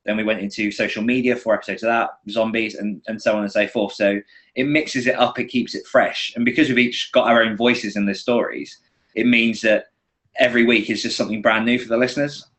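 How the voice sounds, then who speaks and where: A man with a British accent and a fairly low voice. He speaks as an author being interviewed, explaining things in an easy conversational style. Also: steady tone, a little fast, fairly bright tone. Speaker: podcast guest, indie horror author. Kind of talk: casual explanatory chat.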